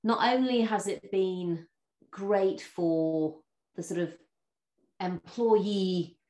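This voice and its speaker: A woman's voice: mid-range voice, very slow, reverberant. A woman hosting an interview podcast, chatty and talking with lots of fillers and restarts. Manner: chatty, warm laughs, many fillers and restarts. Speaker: podcast host. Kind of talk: interview conversation.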